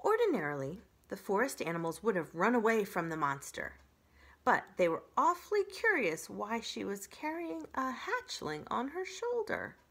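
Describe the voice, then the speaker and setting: A middle-aged woman reading a children's story aloud in an expressive storytelling style. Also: a little high, moderate pace, slightly rough. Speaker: children's library story reader.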